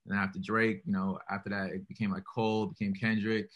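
A young man with a deep voice, a rapper answering interview questions in a relaxed way, full of "like" and "you know". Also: fast talker, dull audio.

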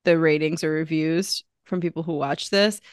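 A woman's voice: deep voice, steady tone, normal speed, clear, resonant tone, dry recording, studio quality. A young woman co-hosting a podcast, chatting casually with many fillers and restarts. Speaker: podcast co-host. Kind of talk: casual chat.

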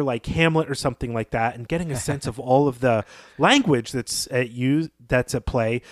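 Guy with a medium pitched voice talking through a story on a podcast, wordy and analytical, super dry audio. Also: no echo. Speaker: podcast co-host. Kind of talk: conversational literary analysis.

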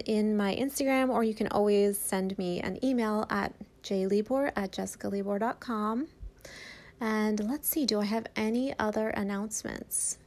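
A woman's voice: mid-range voice, darkish tone. A woman hosting an art podcast, talking casually and enthusiastically with lots of fillers. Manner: enthusiastic, filler-heavy, rambling. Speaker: podcast host. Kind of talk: casual podcast intro and interview.

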